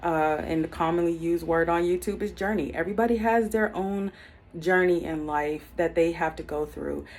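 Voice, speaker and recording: A woman in her thirties talking unscripted to camera, earnest and reflective, with many pauses and filler words as she gives life advice. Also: fairly low pitch. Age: 30s. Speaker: YouTube self-help vlogger. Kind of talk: unscripted personal talk to camera.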